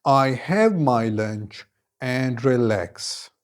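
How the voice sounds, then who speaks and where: A man, teaching an English lesson and giving clear, deliberate model answers, each repeated in several forms. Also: medium pitch, expressive. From Khyber Pakhtunkhwa, Pakistan. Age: 20s.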